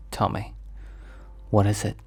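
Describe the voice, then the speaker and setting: A man playing an overprotective mafia boss boyfriend in an ASMR roleplay, speaking formally with measured, halting, slightly stilted phrasing. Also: darkish tone, faint music behind. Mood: concerned, gentle.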